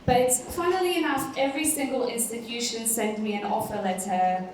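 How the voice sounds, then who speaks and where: Woman, student panelist, earnest, conversational, frequent um pauses, echoey conference hall, distant mic. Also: a little high, darkish tone, slight background noise. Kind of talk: prepared panel speech.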